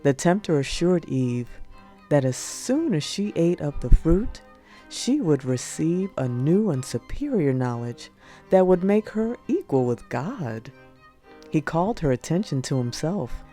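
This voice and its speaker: Low, mellow woman reading a religious audiobook. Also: sing-song, measured pace. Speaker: audiobook narrator. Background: music.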